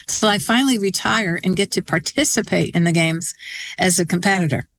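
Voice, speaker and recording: A woman's voice: fairly low pitch, fairly quick, a bit bright, no echo, low-quality recording. A woman of seventy answering questions conversationally as an interview guest and organiser.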